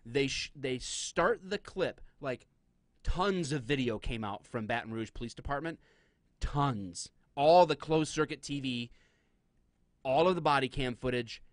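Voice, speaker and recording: A man co-hosting a live-streamed talk show, talking in an opinionated, animated way. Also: fairly high pitch, measured pace, no echo, studio quality. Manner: animated, opinionated, mimics clips. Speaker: podcast co-host. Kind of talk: casual live-stream chat.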